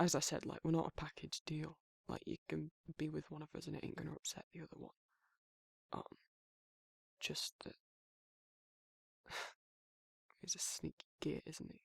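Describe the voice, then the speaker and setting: Gravelly, bashful British werewolf played by a man. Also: a little high, monotone, no echo. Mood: nervous, bashful. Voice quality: gravelly, husky, breathy. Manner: flustered, halting, stammering, trailing off. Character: protective, awkward, bashful male werewolf confessing affection. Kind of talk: intimate ASMR romantic roleplay.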